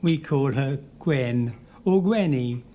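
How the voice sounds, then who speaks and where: Hearty English gentleman for radio play, played by a man: jovial bluster, mellow tone, lively swings in pitch. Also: takes it slowly. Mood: genial. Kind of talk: audio drama acting.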